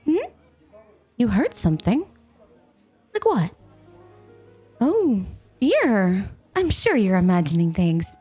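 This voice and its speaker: A woman's voice: medium pitch, sing-song, slow pace, long pauses, warm tone, slightly rough, soft background music, full character voice. A woman playing a playful, possessive snow spirit in an ASMR roleplay, coaxing and teasing the listener with coy, intimate talk. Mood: coy, amused. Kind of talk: ASMR roleplay, scripted, intimate one-sided dialogue.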